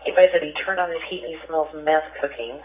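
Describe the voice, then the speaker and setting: A police radio dispatcher relaying calls to units. The voice comes through a very narrow, tinny scanner channel, with a mid-range pitch. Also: fast talker. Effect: two-way police radio.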